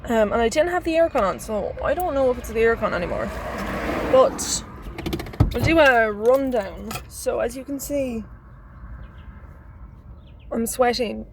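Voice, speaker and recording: A woman chatting casually to her camera in a rambling vlog, her voice a little hoarse and phlegmy. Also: on the high side, expressive, unhurried, long pauses, a little hiss or hum. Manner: chatty, rambling, restarts mid-word. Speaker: vlogger.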